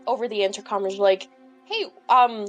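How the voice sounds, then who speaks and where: A woman's voice: medium pitch, normal speed, faint music behind. A woman playing a tabletop role-playing game, thinking aloud in informal, giggly chat.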